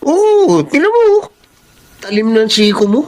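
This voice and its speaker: An actress playing a cheeky, flattering, idle former classmate in a radio drama, teasing with a drawl. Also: female voice, a little high, slow pace.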